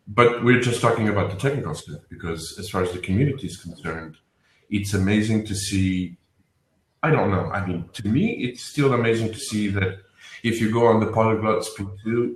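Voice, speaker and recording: A man with a Portuguese accent, a guest on a panel, talking casually and rambling with lots of restarts. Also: lowish voice. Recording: roomy echo.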